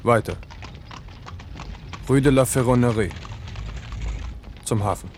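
A man's voice: medium pitch, slow pace, darkish tone, a little hiss or hum, close to an everyday voice. An actor playing an aging, generous and resolute French king in a German radio drama. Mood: brisk, resolute.

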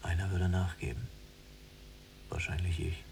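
An actor playing a resigned young prisoner in a radio drama, talking in terse, subdued near-whispers. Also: male voice, sits low, flat delivery, unhurried, vocal fry. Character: young male prisoner, resigned, yielding cellmate. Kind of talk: literary radio drama dialogue. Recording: distant mic, noisy with hum.

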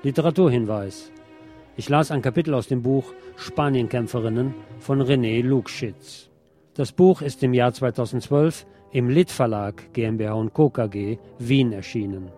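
Ein Mann, der als Sprecher einer Radiosendung einen literarischen Sachtext auf Deutsch mit deutschem Akzent vorliest. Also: male voice, medium pitch, moderate pace, dark tone, faint music behind. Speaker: radio programme presenter reading a book chapter. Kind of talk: scripted narration, literary reading.

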